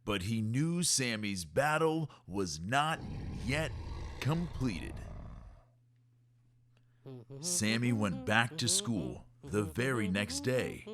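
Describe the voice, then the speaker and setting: A man reading a rhyming children's story aloud in an expressive, measured storytelling way. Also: medium pitch, a crowd in the background. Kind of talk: rhyming scripted narration for kids.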